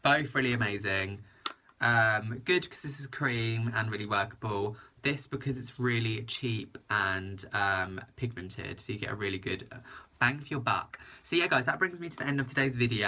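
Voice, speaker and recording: Bright-voiced gushing British young man. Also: mid-range voice, moderate pace. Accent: British English. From England. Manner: gushing, enthusiastic, repeats "very, very". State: blocked-up nose from hay fever. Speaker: beauty vlogger. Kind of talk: casual makeup review vlog. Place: small indoor room with a printed backdrop.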